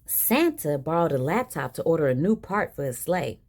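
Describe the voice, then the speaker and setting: Very animated woman reading a storybook. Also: deep voice, normal speed. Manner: expressive, dramatic emphasis. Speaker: storybook reader. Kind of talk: animated children's read-aloud.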